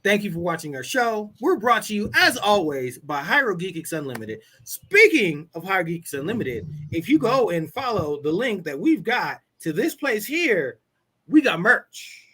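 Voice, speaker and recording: A man co-hosting a casual geek talk show, animated as he wraps up and plugs merch. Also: sits high, normal speed, husky. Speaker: co-host. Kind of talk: casual podcast chat.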